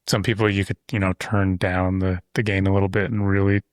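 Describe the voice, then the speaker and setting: A man's voice: low voice, level tone, a little fast, no room sound. A man hosting a podcast in a casual conversation, talking at length with loose asides on a close studio mic. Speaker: podcast host. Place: insulated shop-building studio.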